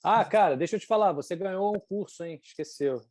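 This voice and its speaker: A man's voice: high voice, fairly quick, a little mellow. A man in his forties with a Brazilian Portuguese accent, hosting an event in informal, slangy casual chat. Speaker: event host.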